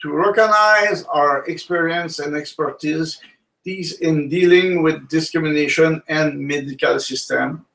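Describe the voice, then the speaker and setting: Halting man, a bit off-mic. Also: fairly high pitch, vocal fry, fairly bright tone, far from the mic, rough recording. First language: French. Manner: halting, searches for words in his second language. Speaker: co-host. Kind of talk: casual panel discussion.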